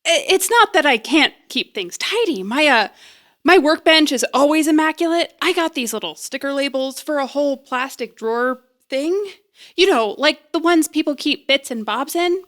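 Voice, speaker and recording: A young woman bluffing eagerly through an audio drama scene. Her voice sits high and swings about with lots of expression. She is flustered and hesitant, tending to ramble nervously. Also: lively intonation, normal speed, a bit bright. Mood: rambling, nervous. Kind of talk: naturalistic audio drama acting.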